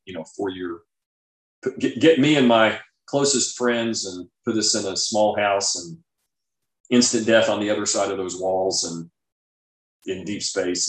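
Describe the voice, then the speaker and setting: A man with a fairly low voice talks in a roomy, echoing recording. He explains ideas informally, like an interview guest who knows science and reaches for everyday examples.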